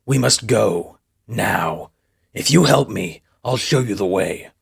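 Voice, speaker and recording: Resolute alien rebel chief played by a man: deep, heavily rasping, earnest and formal, deliberate comic-dub delivery. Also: lively intonation, exaggerated voice. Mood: urgent, resolute. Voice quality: gravelly, husky.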